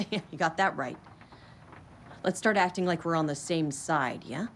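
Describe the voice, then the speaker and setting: Dry, no-nonsense female bureau agent for a game cutscene, slightly low voice over faint ambience. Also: unhurried. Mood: matter-of-fact. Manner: wry, blunt, matter-of-fact. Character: brisk, wry female government bureau agent in authority. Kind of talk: video game cutscene acting. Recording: light ambient background noise.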